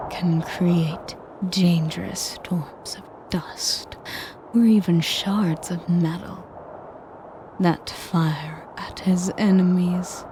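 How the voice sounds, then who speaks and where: Slow, breathy, haughty dragoness, acted by a woman. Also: fairly low pitch, noisy background. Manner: breathy, half-whispered, slow and menacing; pompous, condescending. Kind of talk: scripted audio roleplay monologue.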